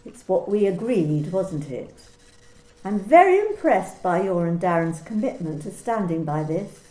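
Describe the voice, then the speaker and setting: A woman's voice: on the low side, big pitch swings, unhurried, mellow, roomy sound, low-quality recording. A woman playing a cold, controlling company manager in an amateur radio play, speaking in a clipped, coolly formal way. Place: home during lockdown.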